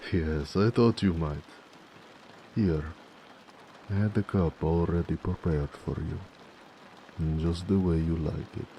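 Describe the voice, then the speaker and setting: A man voicing a gentle, affectionate orc blacksmith in an intimate romantic audio roleplay, tender and musing. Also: sits low, steady tone, slight background noise, low-quality recording. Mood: cozy, inviting. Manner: unhurried, tender, murmuring, musing.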